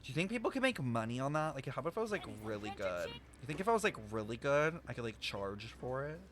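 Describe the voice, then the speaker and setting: A man's voice: medium pitch, big pitch swings, fast, a bit bright. A young man livestreaming, chatting casually and expressively with his viewers as he reacts to a game, in slangy speech. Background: game music.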